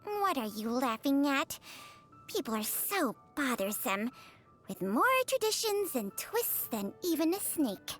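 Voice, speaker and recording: Brief: sardonic snake spirit for a game, high and swooping, slow and dry, faint music behind. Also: female voice, big pitch swings. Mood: grumbling. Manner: dry, teasing, impatient. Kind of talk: game character voice lines.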